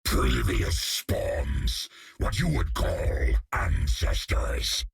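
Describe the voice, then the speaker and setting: A man voicing a cold, disdainful inhuman villain in a video game, with a rasping, gravelly voice. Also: very deep voice, huge pitch swings, extremely slow, full character voice. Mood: menacing. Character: contemptuous killer robot and menacing alien parasite, inhuman male villains. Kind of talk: video game character acting.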